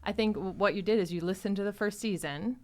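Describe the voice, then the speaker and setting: A woman's voice: mid-range voice, a little mellow. Effusive, chatty middle-aged woman hosting. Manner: effusive, chatty, quick to laugh. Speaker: podcast host, actor. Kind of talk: casual chat.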